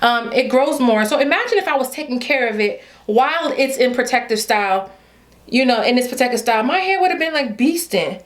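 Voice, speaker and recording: Woman, Haitian accent, young adult, chatty, playful, slightly high voice. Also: lively intonation, a little fast. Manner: chatty, playful, talks straight to viewers with y'all. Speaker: beauty vlogger. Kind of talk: casual hair vlog chat.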